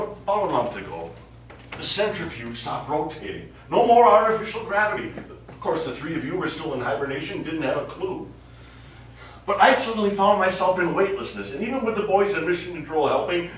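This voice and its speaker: Man acting a high-voiced astronaut, echoey and distant. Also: fairly bright tone. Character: quiet, guarded male astronaut explaining himself to his crew. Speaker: stage actor in a short play. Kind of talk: live stage play dialogue. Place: small theater studio, recorded from audience distance. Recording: echoey hall, distant mic, faint noise.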